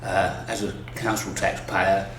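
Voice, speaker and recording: A man's voice: deep voice, flat delivery, measured pace, a bit bright, reverberant, slight background noise. A man, a local resident, hesitant and mildly sceptical in a short vox pop.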